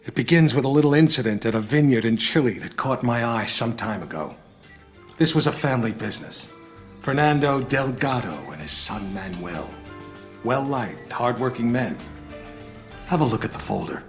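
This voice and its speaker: An actor playing a polished, self-assured older retired intelligence director in a video game, speaking in measured, deliberate phrases. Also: male voice, medium pitch, lively intonation, close to an everyday voice. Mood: grave, confiding. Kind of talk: dramatic video game cutscene dialogue. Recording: music behind the voice.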